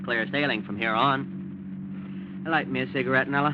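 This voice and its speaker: A man's voice: medium pitch, steady tone, unhurried, close to an everyday voice. An actor in a 1940s radio drama playing a tipsy, breezy, rich young man who rattles easily. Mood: tipsy, cheerful. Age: young adult. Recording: dull, noisy old-time radio recording.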